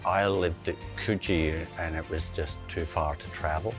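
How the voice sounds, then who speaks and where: Low-voiced male founder from Scotland, interview storytelling, light music underneath. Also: steady tone, moderate pace. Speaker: company co-founder. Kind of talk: interview telling a company story. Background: background music.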